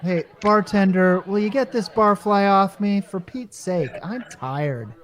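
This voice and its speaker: A man's voice: high-pitched, measured pace, a bit bright, some chatter behind. A man playing a tired working-class repairman in a radio comedy, slangy, sarcastic and exasperated. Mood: weary, irritated. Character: weary, wisecracking working-class male repairman.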